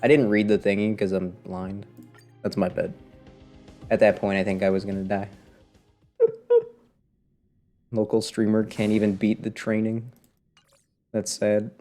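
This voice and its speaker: A man's voice: on the low side, flat delivery, unhurried, pauses often, dark tone. A man giving casual live commentary on a video game, talking to himself and his viewers in a wry, sarcastic way. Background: game music.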